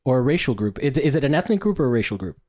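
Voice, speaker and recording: A man speaks as the host of a casual interview, in a medium-pitched voice. He is inquisitive and halting, often starting a question and then rephrasing it. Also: very animated, dark tone. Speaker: podcast host.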